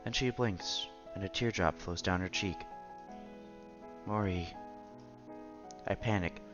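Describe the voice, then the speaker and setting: A man's voice: low-pitched, takes it slowly, dull audio. A man streaming a visual novel, reading its narration and dialogue aloud expressively. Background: game music.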